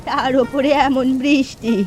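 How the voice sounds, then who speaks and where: A woman's voice: sits high, steady tone, a little slow. A woman voicing an elderly, fretful and grumbling village aunt in a cartoon fairy tale.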